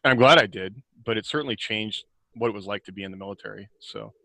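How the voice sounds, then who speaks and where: A man's voice: on the low side, fast, gravelly, bright, forceful tone, low-quality recording. A middle-aged man talking casually and reflectively as an author on a podcast, often revising his sentences as he goes. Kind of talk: casual reflective conversation about writing and life.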